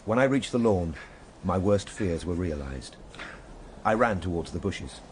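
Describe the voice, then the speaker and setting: Reflective gentleman narrator, low pitch, solemn, measured, literary, radio drama, faint music. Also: lively intonation, background noise. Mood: grave, uneasy. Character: time traveller as narrator, grave, reflective gentleman recalling his journey.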